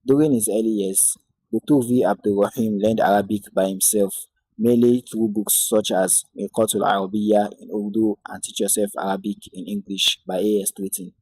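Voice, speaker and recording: A man narrating an introductory Arabic lesson in a measured, devout way, opening and closing with Islamic invocations. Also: on the low side, warm tone, slightly rough, no room sound, poor audio. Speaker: Arabic teacher.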